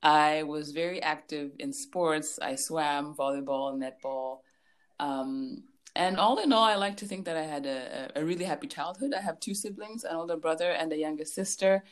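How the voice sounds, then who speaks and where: A woman from Zambia in her thirties talks as a guest in a relaxed interview. Her voice sits low. She laughs easily and doubles words for emphasis. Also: normal speed. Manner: warm, laughs often, repeats words for emphasis. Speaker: guest life coach. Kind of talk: casual interview chat.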